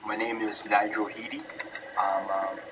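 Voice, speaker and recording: A man's voice: on the low side, flat delivery, unhurried. A young man from Zambia answering interview questions casually, with many ums and the odd laugh. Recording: distant mic, noisy ambience.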